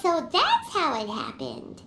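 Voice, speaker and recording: A woman voicing a tiny, childlike female fairy companion in a video game, naive and excitable, with a squeaky cartoonish delivery. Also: takes it slowly, roomy sound, slight background noise, exaggerated voice. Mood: puzzled. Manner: squeaky, speaks of herself by name.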